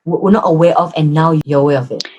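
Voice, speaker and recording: A woman with a Singaporean English accent and a touch of Malay hosting a casual interview, reflective in tone and quick to murmur agreement. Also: deep voice, fairly even intonation, a little mellow. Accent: Singaporean English with Malay code-switching. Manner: reflective, with frequent affirming murmurs. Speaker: host.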